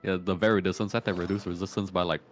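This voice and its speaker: Male gamer, lowish voice, faint music behind. Informal, wry, teasing advice in casual chat. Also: level tone, rapid-fire. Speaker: guest advising the streamer on the game.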